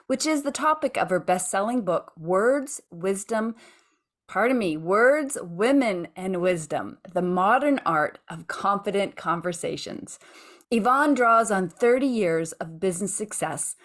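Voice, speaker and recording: A woman's voice: medium pitch, expressive, unhurried. A woman hosting an interview podcast, talking enthusiastically and effusively, often restarting her phrases. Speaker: podcast host.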